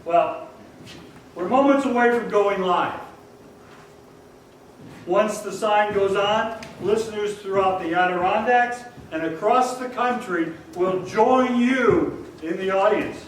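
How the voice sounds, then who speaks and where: A man's voice: high voice, slow. A man playing an upbeat, theatrical 1940s radio show announcer, welcoming a live audience as host. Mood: coaxing, upbeat. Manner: theatrical, showy, addressing the audience. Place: stage of a performing arts hall. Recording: echoey hall from far off, faint noise.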